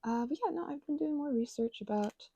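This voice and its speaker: A woman's voice: high-pitched, fairly quick, mellow, husky, no echo, dull audio. A woman chatting in a rambling, casual way while she prepares craft materials, full of fillers and restarts. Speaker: ASMR and audio creator.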